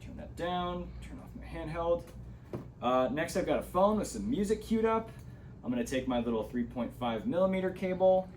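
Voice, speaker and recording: A man's voice: on the high side, a little hiss or hum. A man giving a casual, hands-on gear tutorial, explaining things step by step as an instructor.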